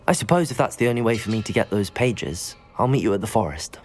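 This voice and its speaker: Guy as a polite teen wizard in a game, curious, earnest, lively intonation, faint music. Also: fairly low pitch, fast, lightly acted. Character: polite, curious teenage male wizard student. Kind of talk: video game character acting.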